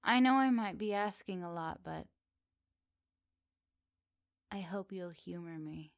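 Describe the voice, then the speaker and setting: A woman voicing a flirty, kindly, lonely witch in an intimate, softly teasing ASMR roleplay, close to the microphone. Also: fairly low pitch, animated, slow pace, gravelly, dry recording, heavily acted. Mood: wistful, hopeful. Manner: soft, teasing, cozy delivery to one listener. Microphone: close dry microphone.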